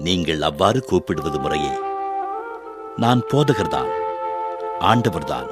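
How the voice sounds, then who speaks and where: A man playing a solemn, gentle, authoritative teacher in a biblical radio drama, speaking formally and with measured care. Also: a bass voice, big pitch swings, loud music behind the voice, exaggerated voice. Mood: solemn, teaching.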